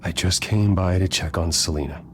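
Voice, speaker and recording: An actor playing an earnest, steady hero in a dramatic video game, reasoning firmly and urgently. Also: male voice, deep voice, moderate pace, a little hiss or hum, natural voice. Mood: defensive.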